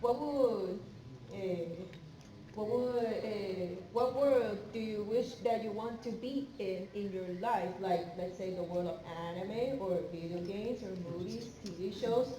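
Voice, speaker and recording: A woman's voice: mid-range voice, unhurried, warm tone. A woman fan asking a question at a convention panel, nervous and giggly, hesitating with many fillers. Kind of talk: audience question. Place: large convention hall. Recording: echoey hall from far off with crowd.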